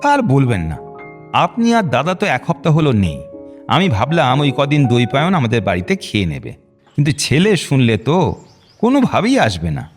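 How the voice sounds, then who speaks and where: Animated middle-aged father, reverberant. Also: medium pitch, moderate pace. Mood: jovial teasing. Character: genial middle-aged father, chatty, courteous, burdened. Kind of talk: audio drama acting. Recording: reverberant hall, light music bed.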